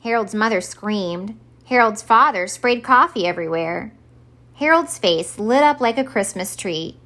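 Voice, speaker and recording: A woman reading a children's storybook aloud as an expressive narrator for story time. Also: medium pitch, measured pace.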